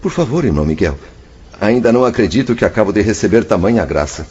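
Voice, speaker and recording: A man's voice: fairly low pitch, big pitch swings, quick pace, darkish tone, breathy edge. An actor playing a humble, troubled father spirit who recounts his past in a radio drama, earnest, formal and emotional. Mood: humble, grateful. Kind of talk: Brazilian radio drama narration and dialogue. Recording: dull, faintly noisy radio drama track.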